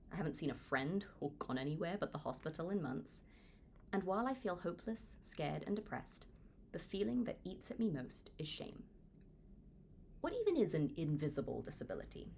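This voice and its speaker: A woman in her twenties from Hong Kong, reading her own book aloud as an earnest, lively audiobook narrator. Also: a little hiss or hum. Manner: earnest, lively reading with wide pitch swings. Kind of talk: audiobook read-along narration.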